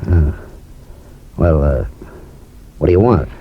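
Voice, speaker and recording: A mercenary, wisecracking private detective in an old radio thriller. His voice is husky and swoops expressively through tough-guy slang. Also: extremely low pitch, expressive, slow pace, dull audio, exaggerated voice. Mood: evasive, haggling. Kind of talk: 1950s radio crime drama acting.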